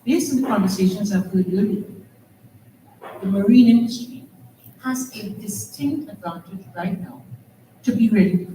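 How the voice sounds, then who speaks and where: A woman with a Trinidadian accent moderates a conference panel, posing earnest rhetorical questions. Her voice is mellow and dark, picked up from a distance in a roomy hall. A faint hum sits behind her. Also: mid-range voice. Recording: echoey hall, distant mic, faint noise.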